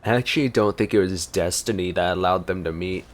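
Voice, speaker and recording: A man's voice: low-pitched, a little fast. A man giving casual gameplay reaction commentary, musing and thinking aloud as he plays. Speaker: gameplay streamer.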